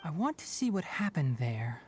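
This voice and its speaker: An actor playing a young male forest researcher in a video game, speaking in a measured, precise, explanatory way. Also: on the high side, very animated, slight background noise. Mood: dry, businesslike. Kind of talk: scripted video game voice acting.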